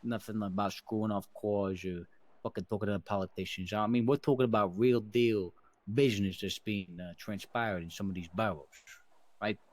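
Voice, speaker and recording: A man's voice: on the low side, steady tone, moderate pace, darkish tone, dry recording, natural voice. A man playing a firm mafia boss in an improvised online crime roleplay, swearing freely and talking at length to push for agreement. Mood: firm, negotiating. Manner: profane, long-winded, pushes for agreement. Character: mafia boss negotiating debts, firm and wordy.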